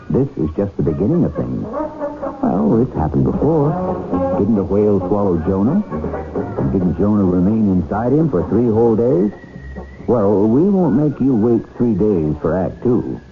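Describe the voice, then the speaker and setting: A man's voice: on the low side, big pitch swings, moderate pace. A man hosting and narrating a 1970s radio drama in a wry, theatrical, scripted style. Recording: tinny 1970s radio broadcast, music underneath. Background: music.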